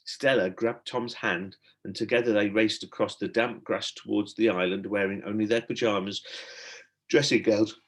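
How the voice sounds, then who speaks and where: A man narrating a children's storybook in an expressive, dramatic storytelling manner, like a teacher reading aloud. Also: lowish voice, moderate pace.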